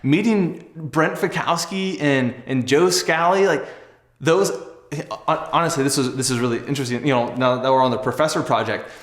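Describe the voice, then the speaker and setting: A man's voice: medium pitch, a bit bright, reverberant. A young man telling a personal story casually to camera, earnest, conversational and reflective. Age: young adult. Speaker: athlete and video host.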